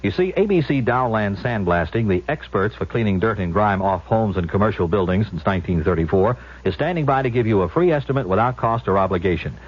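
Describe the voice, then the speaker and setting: A man with a husky voice reading a scripted radio commercial as an announcer. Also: lowish voice, animated, a little fast. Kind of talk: scripted vintage radio ad read. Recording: muffled vintage radio broadcast.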